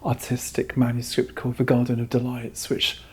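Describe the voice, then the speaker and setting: A man's voice: mid-range voice, monotone, normal speed, husky. A man speaking as a curator in an interview, giving long, discursive explanations. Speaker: exhibition curator, interview guest.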